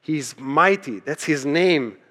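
A man's voice: on the high side, expressive, slow, sharp tone. A man who is a native Hebrew speaker, preaching as a pastor in a conversational sermon style, emphatic, repeating key phrases and posing rhetorical questions. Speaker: pastor preaching to his church.

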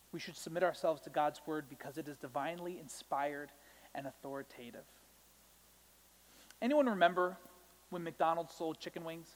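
High-voiced young male preacher. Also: fairly bright tone. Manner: conversational and self-joking, with asides to the congregation. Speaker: pastor. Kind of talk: sermon. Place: church sanctuary. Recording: long hall reverb.

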